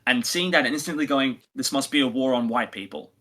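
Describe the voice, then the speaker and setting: A man with a bright, forward voice at middling pitch. He talks casually as a podcast co-host, pushing his opinions with emphatic phrasing. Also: brisk. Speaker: podcast co-host reviewing audio dramas.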